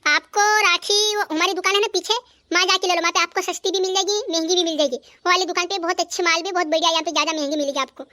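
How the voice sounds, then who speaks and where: A performer voicing an eager woman selling rakhis at a market stall in a cartoon, hawking in a high, sped-up voice. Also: steady tone, quick pace, bright, forceful tone. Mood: salesy. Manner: salesy, hawking.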